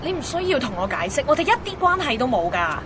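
A woman's voice: sits high, very animated, fast talker, background noise. A woman playing a young, guarded and wry Hong Kong working woman in a Cantonese radio drama, reflective and slipping in English words. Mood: agitated. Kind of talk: radio drama narration and dialogue. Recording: background music under the voice.

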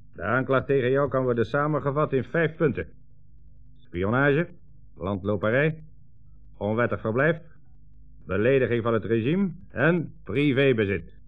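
An actor playing a menacing, sarcastic communist commissar in a 1960s radio drama, speaking imperiously and with contempt. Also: male voice, medium pitch. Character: menacing communist commissar, sneering, bullying, coldly sarcastic interrogator. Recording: tinny, band-limited old radio recording, faint music.

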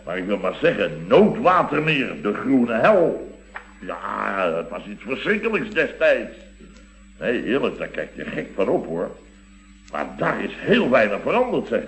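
Chatty gushing boss in old radio comedy, voice swoops around, muffled recording. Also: male voice, lowish voice, big pitch swings, measured pace, creaky, full character voice. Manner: effusive, theatrical, repeats words and phrases. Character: chatty, gushing, teasing boss of a building firm.

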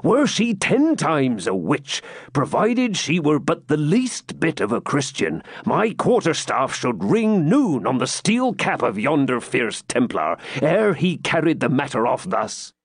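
A man's voice: on the high side, sing-song, a little slow, slightly rough, no echo, clean studio sound, voice pushed up, exaggerated voice. A man voicing a jovial, blunt male friar, speaking in hearty archaic speech.

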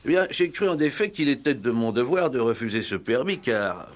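An actor playing a conscientious country doctor, formal, precise and deferential, in 1960s French radio drama style. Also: male voice, medium pitch, sing-song, normal speed, natural voice. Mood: grave, conscientious. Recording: vintage 1960s radio play recording.

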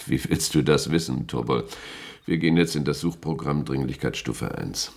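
An actor playing a middle-aged male security chief in a German radio play, authoritative and courteous but guarded, in formal, deliberate officialese. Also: extremely low pitch, creaky. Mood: stern, probing.

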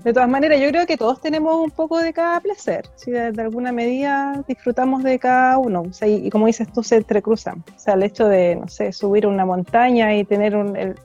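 Lady cohost, highish but mellow, agreeable casual chat, bit muffled. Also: fairly quick, few pauses. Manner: agreeable, affirming. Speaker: podcast co-host. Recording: dull lo-fi audio, music bed.

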